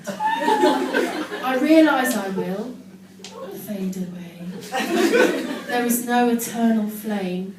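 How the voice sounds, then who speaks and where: A woman gives a self-mocking, deadpan comic reading of teenage writing to a live audience. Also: fairly high pitch, extremely slow, pauses often. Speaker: amateur performer reading her teenage diary on stage. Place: indoor live show in London, audience laughing behind. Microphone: distant phone camera in the audience. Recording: echoey, noisy live venue recorded from far off.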